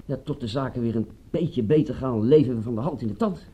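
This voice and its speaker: A man's voice: medium pitch, a little fast, mellow, slightly rough, natural voice. An actor playing an obliging, money-pressed family man who works as an informant in a radio drama, with a hesitant, apologetic, roundabout manner. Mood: sheepish, apologetic.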